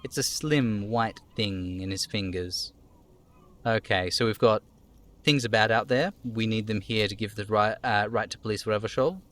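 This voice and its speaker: A man's voice: on the low side, moderate pace, a bit bright. A man streaming a game, reading its narration and dialogue aloud live. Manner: narrating, trying out character voices.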